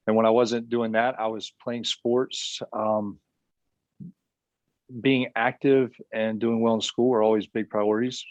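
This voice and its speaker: A young man from South Carolina with a Southern accent, a guest answering interview questions over a video call in a relaxed, easygoing way with small hesitations. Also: on the low side, no room sound, muffled recording. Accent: Southern American. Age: young adult. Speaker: guest, business coach.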